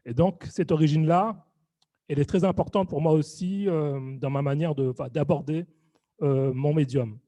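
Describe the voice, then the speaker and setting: A young man giving a halting conference talk, full of fillers and restarts. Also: fairly high pitch, fairly even intonation, dark tone, dry recording. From Réunion. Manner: hesitant, many fillers and restarts. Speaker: video artist giving a lecture.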